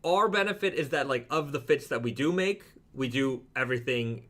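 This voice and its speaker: Male live stream host, bright forward voice at a middling pitch, easygoing and rambling product chat. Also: animated, normal speed.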